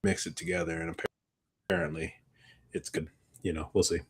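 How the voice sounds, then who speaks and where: A man's voice: sits low, flat delivery, brisk, breathy edge. A man co-hosting a casual live podcast, talking in a loose, rambling way and correcting himself as he explains. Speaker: podcast co-host.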